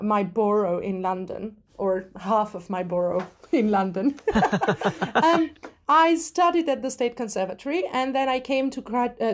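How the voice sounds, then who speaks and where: Young woman from armenia, opera singer chatting, gushing and upbeat about it all. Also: medium pitch. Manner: enthusiastic, effusive. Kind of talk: interview chat.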